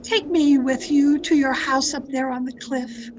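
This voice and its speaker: A woman playing an obsessive, lovestruck mystery novelist in melodramatic old-time radio style, with pleading, deliberate delivery. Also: sits high, steady tone, noisy background. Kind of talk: 1940s radio mystery acting and narration. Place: at home, online video-call performance.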